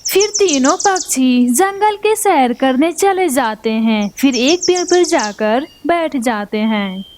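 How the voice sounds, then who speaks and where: A woman narrating a children's cartoon story in a plain, measured way. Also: high voice, animated, background noise.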